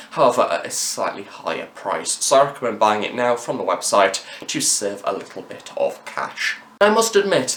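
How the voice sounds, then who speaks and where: A man's voice: fairly low pitch, fairly bright tone. A young man presenting a review to camera in a chatty, wordy, emphatic way. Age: young adult. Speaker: review channel host. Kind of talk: scripted-sounding audio drama review to camera. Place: room in university campus housing. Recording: echoey, roomy sound.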